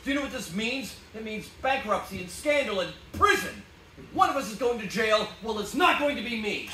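A man's voice: high-pitched, big pitch swings, fairly quick. An actor playing a harried, short-tempered, despairing husband and father in a live 1940s-style radio play, biting and emphatic. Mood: furious. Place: small theatre stage with audience. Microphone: distant camera in the audience. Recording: roomy stage recording from far off, very noisy.

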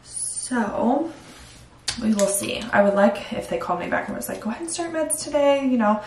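A woman's voice: on the high side, expressive, moderate pace, creaky, a little mellow. A young woman talking candidly to the camera in a casual home vlog, chatty and full of fillers. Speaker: vlogger. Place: home bathroom, indoors. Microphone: far camera. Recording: roomy echo from far off.

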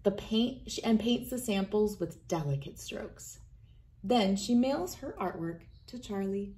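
A woman in her thirties reading a children's picture book aloud in a deliberate, expressive storytelling manner. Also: medium pitch. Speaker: read-aloud host. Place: indoors, wood-panelled room with large windows.

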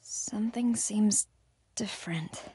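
A woman voicing a frightened but defiant, sarcastic young American heroine in a horror video game, with a breathy, intense delivery. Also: medium pitch, slow, no echo. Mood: hushed, uneasy. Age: young adult. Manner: intense, sardonic, swinging between whispers and yells. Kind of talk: video game voice lines, dramatic acting.

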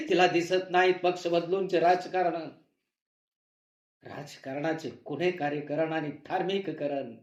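Guy acting an impassioned anguished narrator, slow dramatic monologue, repeats for effect, echoey room. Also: high-pitched. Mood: impassioned outcry. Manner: declamatory, repetitive, impassioned. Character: anguished male narrator crying out for justice. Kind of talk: theatrical monologue in a play reading.

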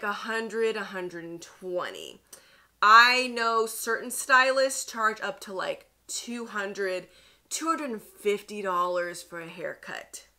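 A woman in her thirties talks straight to camera in a chatty, emphatic vlog. Her voice sits a little high and sounds bright and forceful. She is recorded cleanly in a plain indoor studio. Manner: chatty, emphatic, lively asides. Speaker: beauty vlogger. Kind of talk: casual advice vlog to camera.

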